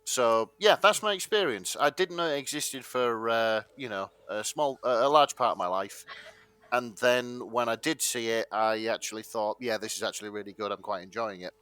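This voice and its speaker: A man with a northern English accent co-hosting a casual podcast, loud and jokey. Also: mid-range voice, moderate pace, clear, resonant tone, no room sound. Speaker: podcast co-host. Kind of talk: casual banter. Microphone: headset.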